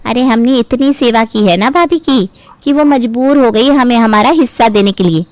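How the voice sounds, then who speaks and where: A woman's voice: on the high side, normal speed, dry recording, natural voice. A woman voicing a greedy, scheming younger sister-in-law in a family cartoon story, ingratiating and smug. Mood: gloating.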